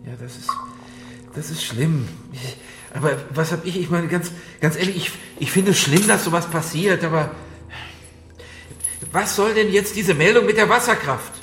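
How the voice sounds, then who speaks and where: An actor playing an arrogant captive banker in a radio crime drama, irritable, exasperated and halting. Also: male voice, fairly high pitch, a bit bright, natural voice. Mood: uneasy, impatient. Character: arrogant captive male banker, agitated and uncomprehending. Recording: echoey room with music behind.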